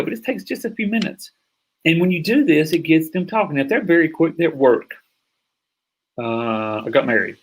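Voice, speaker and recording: A middle-aged man teaching a sales course in an energetic, emphatic way, often stopping to check that listeners follow. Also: on the high side, breathy edge, poor audio. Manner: energetic, emphatic, acts out sample calls. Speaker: sales coach. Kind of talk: online course lecture.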